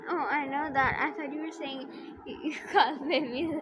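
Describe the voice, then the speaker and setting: A woman's voice: high voice, steady tone, normal speed. A woman game streamer bantering casually in a playful, teasing way while reacting live to what she plays. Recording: noisy home livestream mic.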